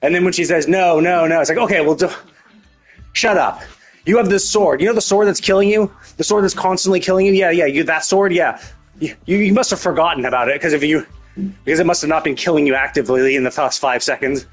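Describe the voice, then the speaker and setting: A man's voice: a little high, quick pace. A man streaming a story game, thinking aloud in a casual, rambling, sarcastic way and swearing freely. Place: indoors, livestream setup. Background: music.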